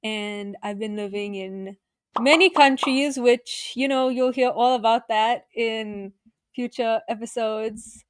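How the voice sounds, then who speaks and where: A woman's voice: fairly high pitch, clear, resonant tone, no room sound. An eighteen-year-old woman from South Africa co-hosting a podcast, chatting casually with lots of "like". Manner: chatty, lots of "like", quick laughs. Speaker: podcast co-host. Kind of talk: casual chat.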